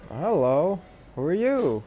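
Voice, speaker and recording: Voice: mid-range voice, sing-song, takes it slowly, warm tone, a little hiss or hum. A streamer giving casual gameplay commentary, muttering reactions to the game as it goes.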